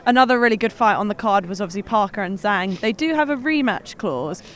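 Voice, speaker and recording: A woman's voice: mid-range voice, animated, a little fast, a bit bright. Woman reporter asking questions. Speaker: sports reporter. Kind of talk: interview questions. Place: outdoors at an urban boxing gym.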